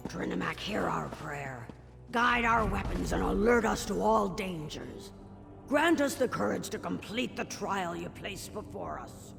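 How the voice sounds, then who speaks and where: A man playing a zealous orc priest in a fantasy video game, solemn and gravelly, speaking in a ceremonial, declamatory way. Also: high-pitched, animated, a little slow, exaggerated voice. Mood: solemn prayer. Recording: background music under the voice.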